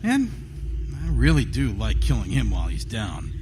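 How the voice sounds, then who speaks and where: An actor playing a sadistic, mocking killer with a theatrical, taunting manner in a live horror audio drama. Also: male voice, fairly low pitch, huge pitch swings, normal speed. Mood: gloating. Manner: taunting, theatrical, relishing cruelty. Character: gloating sadistic male killer, a mocking trapped spirit. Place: theatre stage, live performance. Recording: noisy live theatre stage recording.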